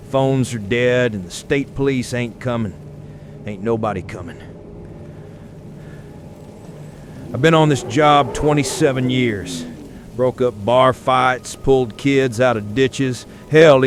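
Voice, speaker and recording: A man playing a weary, middle-aged county sheriff, giving a grave, folksy emergency broadcast in a horror audio drama. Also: lightly acted. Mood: weary, grim. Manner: folksy, slow, resigned, grave. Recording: noisy survivor radio transmission, vehicle-like rumble.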